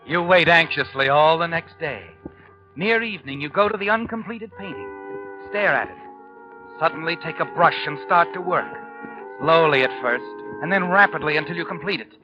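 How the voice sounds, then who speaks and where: An actor playing a sinister, knowing narrator of a 1940s radio suspense show, ominous and taunting. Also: male voice, a little high, moderate pace, close to an everyday voice. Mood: ominous, suspenseful. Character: sinister, knowing male narrator addressing the guilty protagonist. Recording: dull 1940s radio recording. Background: dramatic music.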